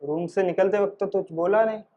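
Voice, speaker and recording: A man who is an acting student, rehearsing short dialogue lines aloud in a halting, phrase-by-phrase way. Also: sits high, mellow, dull audio.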